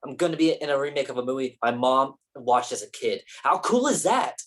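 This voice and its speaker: A woman's voice: extremely low pitch, expressive, fairly quick. A woman in a staged play reading, playing a defensive, ambitious male film actor with a casual, profane manner. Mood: casually defiant.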